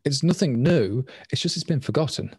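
A man, a programming language designer, answers questions on a video call in a quick, rambling way with restarts. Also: a little high, expressive, darkish tone, slightly rough, no room sound, clean studio sound. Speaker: language designer presenting at a meetup. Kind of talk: casual Q&A answers. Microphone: webcam on a video call.

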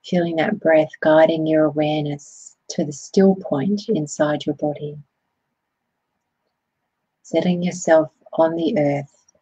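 A woman with a low, very breathy and husky voice guides a body scan meditation. She speaks slowly and soothingly, in gentle phrases. The tone is soft and mellow. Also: no room sound, dull audio.

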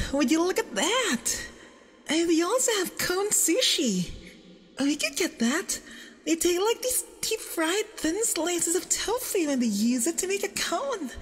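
A woman playing a nervous, clingy, obsessive young femboy boyfriend on a date in an ASMR roleplay, halting and doting, with doubled, reverberant audio. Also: extremely high pitch, heavily acted. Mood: nervous, chatty. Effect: doubled audio with added reverb. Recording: long reverb, doubled voice track.

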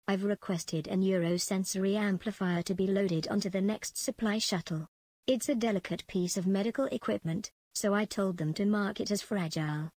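A woman's voice: mid-range voice, normal speed, a little mellow, dry recording, natural voice. An actress playing a ruthless female prison commandant in a space empire, dictating clipped official log entries for a video game. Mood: cold, smug.

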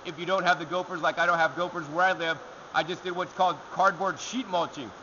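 High, bright-voiced man answering garden questions. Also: fast talker, lo-fi sound, slight background noise. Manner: energetic, talks with his hands. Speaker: gardening show host. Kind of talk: answering viewer questions to camera. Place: outdoors in a large rock alcove by a waterfall.

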